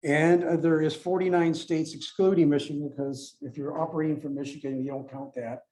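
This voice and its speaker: A man giving an informal explanatory presentation as a club speaker, in a halting manner with repeats and self-corrections. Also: a little high, fairly even intonation, darkish tone. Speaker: club presenter explaining radio contest rules. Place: club meeting room with a few people present.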